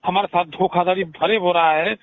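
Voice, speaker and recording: A man's voice: sits high, steady tone, normal speed. A man arguing on a phone call, answering back in a defiant manner. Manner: defiant, retorting. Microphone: phone line. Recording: tinny phone-call recording.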